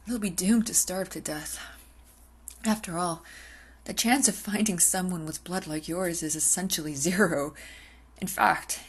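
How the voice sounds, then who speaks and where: Woman performing ASMR roleplay vampiress: obsessive, hungry, domineering; husky, intimate delivery that often slips into whispers. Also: fairly low pitch. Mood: explaining, fixated.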